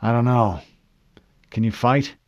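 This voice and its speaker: A man doing a high, strained marine corporal. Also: measured pace, lightly acted. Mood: tense, weary. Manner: urgent, shouted radio reports. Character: desperate male marine corporal under siege. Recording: dull lo-fi dry recording.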